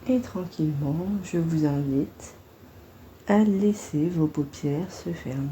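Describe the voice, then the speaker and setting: Low-voiced lady doing a guided meditation, super slow n gentle, bit of noise behind her. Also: poor audio. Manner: gentle, soothing, unhurried delivery with long pauses. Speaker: psychologist guiding a meditation. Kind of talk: guided body-scan meditation.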